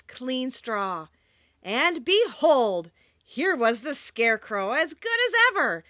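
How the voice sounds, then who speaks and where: A woman narrating a children's storybook in an expressive, theatrical read-aloud style. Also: on the high side, dry recording, dull audio. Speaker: audiobook narrator.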